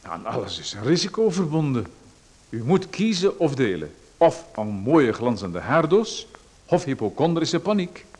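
An actor playing a pushy male salesman, lecturing smoothly and persuasively about a dubious hair machine. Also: medium pitch, very animated, unhurried, a little mellow. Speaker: radio drama actor. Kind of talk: radio play acting.

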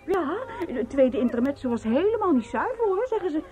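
High, excitable gossip. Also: female voice, fairly quick, lo-fi sound. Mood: gossipy. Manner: chattering, repeats her words. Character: excitable, gossipy woman watching from backstage. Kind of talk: Dutch radio play acting. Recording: radio play with busy crowd behind.

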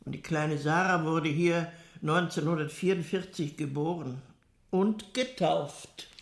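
An actress playing a composed convent nun in a radio crime drama, speaking in a measured, formal and deliberate way. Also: female voice, low-pitched, creaky. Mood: measured recollection. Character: composed convent nun recounting wartime records to investigators. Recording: echoey room.

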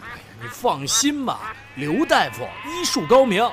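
Very high-voiced, pushy helper. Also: male voice, huge pitch swings, moderate pace, music in the background, full character voice. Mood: urging, reassuring. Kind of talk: modern audio drama acting.

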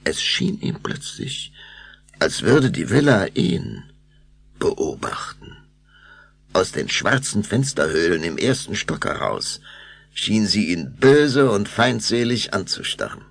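A man's voice: on the low side, big pitch swings, takes it slowly, creaky, a bit bright. A man narrating a children's radio play as a theatrical, suspenseful storyteller. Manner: suspenseful, theatrical, shifting to whispers.

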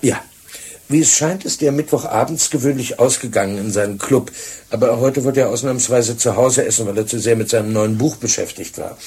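An actor playing a businesslike, dutiful police sergeant with a clipped, factual manner in a German radio crime drama. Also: male voice, mid-range voice, fairly quick, a little mellow, slightly rough. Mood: matter-of-fact. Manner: clipped, factual, deferential to superior.

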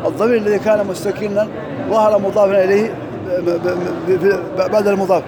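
A man teaches Arabic grammar in a mosque. His high voice rattles off examples at a brisk pace and slows when he recites verses. A crowd murmurs clearly in the background. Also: level tone. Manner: quick, drilling examples, recites verses slowly. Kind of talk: lesson parsing grammar and verse.

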